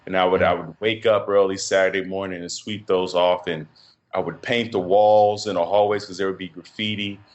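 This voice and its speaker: Deep-voiced guy from chicago, podcast guest, lots of uhs and restarts, low-pitched and thoughtful. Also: little pitch movement. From Chicago, USA. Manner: reflective, halting, with many fillers and repeated starts. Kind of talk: casual interview conversation.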